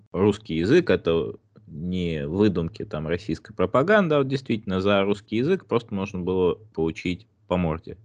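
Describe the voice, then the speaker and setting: A man in a casual discussion, speaking in a measured, hesitant way as he searches for words. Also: low voice.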